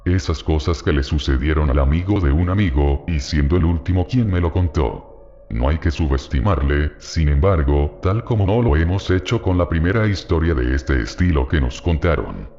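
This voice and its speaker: A man narrating a horror story in a measured, solemn way. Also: a bass voice, a little mellow, long reverb tail, dull audio.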